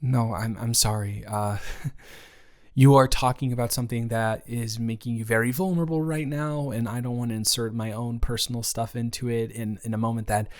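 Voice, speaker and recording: A man plays a young male roommate in an improvised comfort roleplay. He talks in an everyday, medium-pitched voice, caring and a little awkward. His delivery is conversational and rambling, with hesitant stammers and a reassuring manner. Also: fairly even intonation, moderate pace, natural voice. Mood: earnest, sympathetic. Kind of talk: improvised intimate roleplay audio, casual and conversational.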